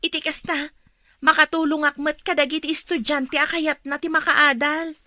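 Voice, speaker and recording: An earnest young woman just out of school in a radio drama. Her bright voice has a northern Philippine accent and sounds close and dry. She speaks respectfully and with expression. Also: sits high, level tone, normal speed, dry recording. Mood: hopeful, dutiful. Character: earnest, dutiful young woman graduate, newly qualified teacher.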